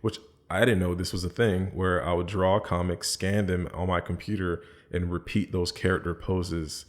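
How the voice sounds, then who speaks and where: Easygoing deep-voiced man, rambling. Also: level tone, moderate pace. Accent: American. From born in the Bahamas, raised in the US. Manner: easygoing, rambling, many fillers and restarts. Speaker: podcast guest. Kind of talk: casual podcast chat.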